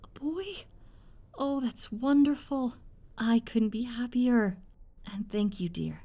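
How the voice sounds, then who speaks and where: An actress playing a doting, excitable middle-aged mother who is quick to scold, in an audio roleplay. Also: fairly high pitch. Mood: overjoyed, tender.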